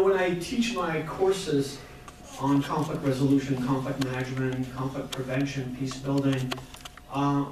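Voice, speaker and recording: An older man, born in Iran, moderating a university panel in a measured, hesitant way with many fillers. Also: medium pitch, background noise. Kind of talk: public panel talk. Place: university lecture hall. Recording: echoey hall from far off.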